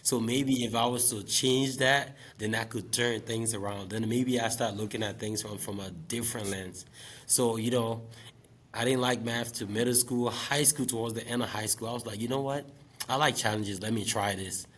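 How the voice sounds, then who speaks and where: A man in his late twenties from Liberia, speaking as an interview guest. He talks about teaching in a relaxed, reflective way, with many fillers. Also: mid-range voice, moderate pace. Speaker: guest, special education math teacher. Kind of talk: casual interview talk.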